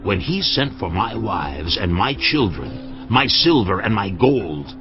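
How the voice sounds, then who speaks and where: An actor playing a wary, weary king of Israel in a dramatised audio Bible, speaking deliberately. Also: lowish voice, sing-song. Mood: firm, aggrieved. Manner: slow, deliberate delivery. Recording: dull lo-fi audio with music. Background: background music.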